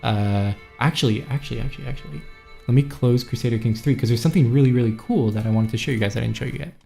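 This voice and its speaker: A man's voice: mid-range voice, very fast, vocal fry, mellow, soft background music. A man from Montreal chatting loosely with viewers on a live stream, rambling and reading out chat. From Montreal, Canada. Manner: rambling, scattered, reads chat aloud.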